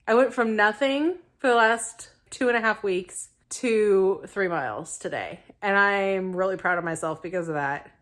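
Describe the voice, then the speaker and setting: A woman in her thirties vlogging casually to camera, her voice raspy from a lingering illness, chatty and self-deprecating. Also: medium pitch, moderate pace, hardly any pauses, a bit bright. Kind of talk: casual weight-loss vlog to camera.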